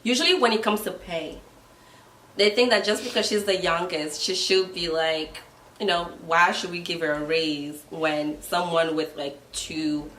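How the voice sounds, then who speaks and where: A woman's voice: on the low side, steady tone, a little slow, a bit bright, slight background noise. A woman in her twenties with a Ghanaian accent, a guest giving earnest advice in a casual conversation. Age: 20s. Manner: earnest, advising. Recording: roomy, mic at a distance.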